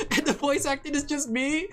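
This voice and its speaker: A woman's voice: sits high, steady tone, unhurried, fairly bright tone. A woman VTuber streamer chatting casually with her viewers while drawing, rambling and laughing often.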